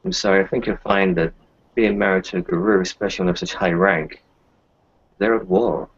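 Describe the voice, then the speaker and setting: Man as worldly doctor adviser: deep, gravelly, gently patronising, tabletop game, muffled lo-fi audio. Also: fairly even intonation, brisk. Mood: gently correcting. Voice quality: gravelly, husky. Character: worldly kinfolk doctor, frank, wry adviser. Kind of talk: improvised tabletop roleplay dialogue. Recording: dull lo-fi voice recording.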